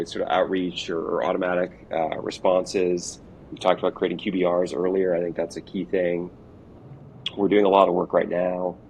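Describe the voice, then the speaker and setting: Male executive interviewee, low register, conversational and informal, faint rumbling noise behind. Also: darkish tone. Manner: informal, hedging with "kind of" and "like". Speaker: revenue operations executive being interviewed. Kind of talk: casual conversational explaining. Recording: noisy with low background rumble.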